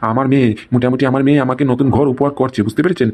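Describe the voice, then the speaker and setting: A man's voice: mid-range voice, level tone, rapid-fire, a little mellow, natural voice. A man voicing a touchy, blustering old father-in-law in an amateur comedy cartoon, emphatic and full of tag questions. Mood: irritated, defensive.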